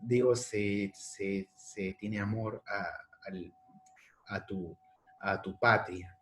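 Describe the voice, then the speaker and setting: A man's voice: fairly low pitch, fairly even intonation, extremely slow, a bit bright. A man giving a casual history lesson to secondary students, thinking aloud and rephrasing, often checking in with "¿de acuerdo?". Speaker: history teacher.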